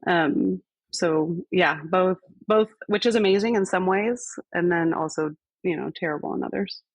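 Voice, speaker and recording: A woman in her thirties, a podcast guest, talking in a relaxed, reflective way with many fillers. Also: on the low side, normal speed, no echo. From grew up on a base in Spain. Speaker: guest, fire department fitness coordinator. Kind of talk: conversational podcast interview.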